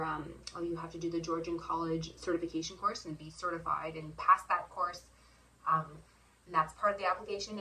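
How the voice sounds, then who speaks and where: A soft-spoken woman co-hosting a casual chat, explaining step by step with many pauses and ums. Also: fairly low pitch, recorded from a distance, slight background noise, low-quality recording. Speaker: co-host.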